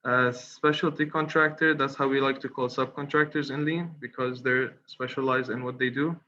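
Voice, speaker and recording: A young man from Qatar giving a webinar presentation as a guest speaker. He talks with many fillers, pauses and restarts, and polls the audience. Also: medium pitch, fairly even intonation, sharp tone. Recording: slightly dull online call audio with faint noise.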